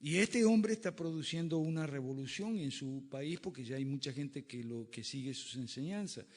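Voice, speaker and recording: Guy giving a lecture off slides, kinda high voice, punchy questions, echoey hall. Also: moderate pace, darkish tone. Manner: emphatic, asks rhetorical questions. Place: lecture room.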